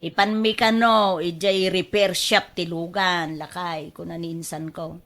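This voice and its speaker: A woman's voice: on the low side, fairly even intonation, measured pace, fairly bright tone. A woman with an Ilocano accent playing a guilty, evasive wife in a radio drama, defensive and pleading. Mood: coaxing.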